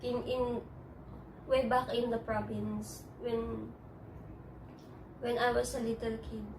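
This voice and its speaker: A Filipino woman with a Tagalog-native accent, speaking English in a halting, hesitant way with many restarts in a personal interview. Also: on the high side, level tone, creaky, background noise. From Philippines. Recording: echoey room, distant mic.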